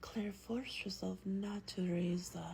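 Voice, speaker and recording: A woman with a breathy whisper reads a story aloud in a slow, hushed, gentle ASMR style, as a vampire host close to the microphone. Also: medium pitch, fairly even intonation. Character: gentle female vampire host reading a bedtime book. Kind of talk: soft-spoken ASMR roleplay and book reading. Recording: faint background noise.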